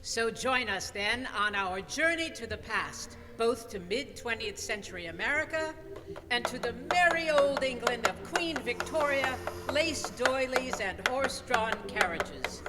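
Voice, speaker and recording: A woman delivering scripted narration in an old-time radio style, theatrical and measured. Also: a little high, lively intonation, very noisy. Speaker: radio play narrator and announcer. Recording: live hall, long reverb, music behind. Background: live organ music.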